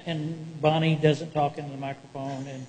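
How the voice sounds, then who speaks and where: A man's voice: on the high side, level tone, moderate pace, darkish tone. A man on a city council asking blunt, wry questions at a meeting. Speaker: council member. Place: council chamber. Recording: reverberant hall.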